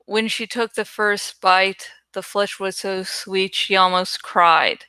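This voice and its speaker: Bright, clear-voiced woman chatting casually. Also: mid-range voice, steady tone, slow, no room sound. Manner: sweary, thinking aloud. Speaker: online creator and host. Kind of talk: casual rambling chat while working on a graphic.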